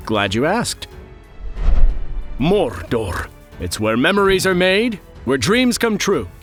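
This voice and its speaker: A man's voice: fairly high pitch, a bit bright. A man narrating a scripted explainer in a wry, playful, conversational way, with joking asides. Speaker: presenter of a fantasy lore explainer video.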